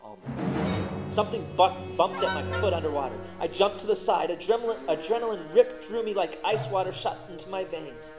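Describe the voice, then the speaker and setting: A man narrating a first-person story in an expressive, dramatic way as host of a story show. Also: sits high, brisk, music in the background. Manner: dramatic, animated on exciting parts.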